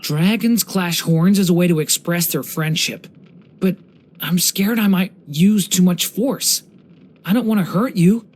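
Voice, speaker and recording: A young man voicing a gentle, earnest dragon boy for a video game, polite and slightly hesitant. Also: sits high, a little slow. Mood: shy, careful. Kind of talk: video game character voice lines.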